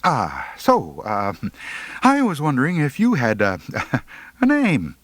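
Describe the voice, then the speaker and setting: A man acts out an awkward teenage boy in a comic audiobook. The voice sits high and jumps around. He stammers and halts, nervously putting on bravado. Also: wildly swooping pitch, no echo, heavily acted. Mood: nervous, hopeful. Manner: halting, stammering.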